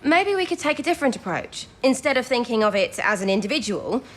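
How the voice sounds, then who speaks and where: An actress playing a young wartime codebreaker who thinks aloud, eager and self-doubting but daring, in an audio drama. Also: female voice, fairly high pitch, big pitch swings, fast talker, fairly bright tone, slight background noise. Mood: earnest.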